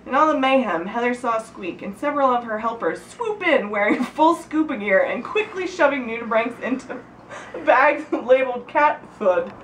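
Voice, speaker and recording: A woman with a fairly high, very lively voice reads a funny story aloud to friends. She is giggly and informal, tripping over words and breaking off to comment. The recording is echoey and sounds somewhat far from the mic. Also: moderate pace. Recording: echoey room, distant mic, background noise.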